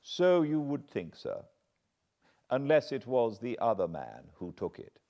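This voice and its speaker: A man's voice: medium pitch, sing-song, a little slow, mellow, pitched above the performer's own voice. An English man voicing an anxious, earnest older butler who pleads and frets. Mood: guarded. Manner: agitated, pleading.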